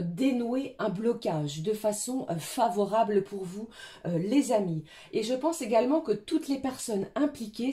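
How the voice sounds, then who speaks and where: Hoarse French woman with a cold. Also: on the high side. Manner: gentle, many hesitations. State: has a cold, hoarse and coughing. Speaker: tarot and oracle card reader. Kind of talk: weekly card reading guidance.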